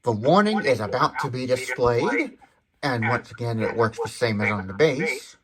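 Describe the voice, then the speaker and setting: Man, medium-pitched voice, detailed explainer, methodical, hands-on product demonstration commentary. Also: expressive, moderate pace, a crowd in the background. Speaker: tech demonstration video host.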